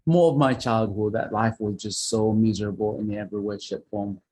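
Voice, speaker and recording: A man's voice: on the low side, fairly even intonation, brisk, a little mellow, slight background noise. A man from Uganda, a podcast guest telling his life story earnestly and often adding "you know". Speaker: guest, author and foster father. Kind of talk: interview storytelling.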